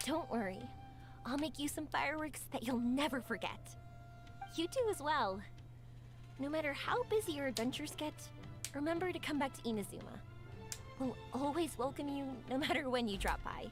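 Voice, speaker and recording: A woman voicing a cheerful, spirited young fireworks maker in a video game, upbeat and affectionate. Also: high voice. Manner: bright, upbeat, affectionate, giggly. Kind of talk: game voice acting. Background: game music.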